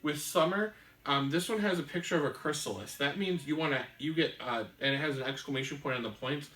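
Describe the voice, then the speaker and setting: A man's voice: a little high, brisk, fairly bright tone. A man hosting a board game show, patiently explaining the rules step by step as he plays, with frequent ums. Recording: roomy room, mic at a distance, faint hiss.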